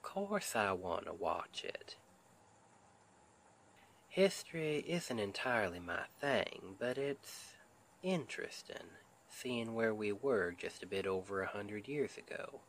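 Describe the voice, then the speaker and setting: Man acting a slow, tender Southern husband. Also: on the low side, animated, a little hiss or hum, exaggerated voice, rough recording. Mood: cozy, affectionate. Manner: soothing, gentle, affectionate pet names, often half-whispered. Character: tender, reassuring Southern husband comforting his stressed wife. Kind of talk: ASMR roleplay, intimate and soft.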